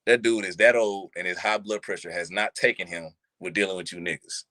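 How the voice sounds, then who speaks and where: A man around thirty talking casually on a live-streamed show, animated, using street slang and checking in with listeners often. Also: low voice, a little fast, no echo. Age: 30s. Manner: animated, street slang, repeats "you see what I'm saying". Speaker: podcast co-host. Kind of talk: casual chat. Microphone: live stream mic.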